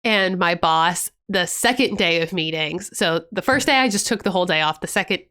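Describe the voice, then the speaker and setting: A woman's voice: lowish voice, moderate pace, pushed and bright. Chatty American woman, slightly congested. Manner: chatty, rambling, laughs often. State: congested from a cold. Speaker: podcast co-host. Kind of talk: casual chat.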